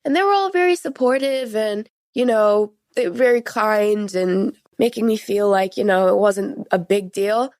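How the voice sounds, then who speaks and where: Female, late teens, podcast guest. Frank, informal teen talk, mid pitch, close dry sound. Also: normal speed, hardly any pauses, darkish tone, no echo. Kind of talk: personal interview, recounting experiences.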